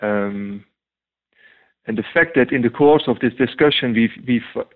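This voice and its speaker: Dutch man, non-native English, halting interview guest, phone-quality audio. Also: mid-range voice. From Netherlands. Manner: hesitant, many ums and restarts. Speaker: guest translator. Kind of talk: interview answers. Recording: tinny phone-like line.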